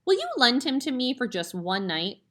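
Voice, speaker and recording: A woman voicing a clever, calm little girl who speaks high and earnestly. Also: moderate pace. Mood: eager.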